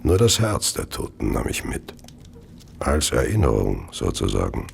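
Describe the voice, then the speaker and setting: An actor in a German radio play voicing a deranged, obsessive murderer with a gravelly, breathy voice and a halting delivery. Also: male voice, very deep voice, wildly swooping pitch, very noisy, full character voice. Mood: cold confession. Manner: halting, slow, erupting into frenzy. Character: deranged, obsessive Victorian murderer, brooding and confessing.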